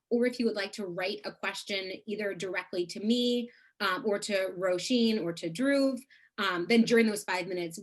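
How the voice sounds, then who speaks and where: A woman co-hosts an online event, explaining how to ask questions during it. She fills her speech with "uh" and "um" and stutters on some words, at an everyday pitch. It sounds like a video call. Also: low-quality recording. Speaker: co-host. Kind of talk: giving event instructions.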